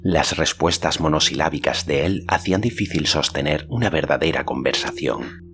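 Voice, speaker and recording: A man's voice: very deep voice, normal speed, a bit bright, slight background noise. A man reading a fantasy novel aloud as scripted literary narration. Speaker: audiobook narrator.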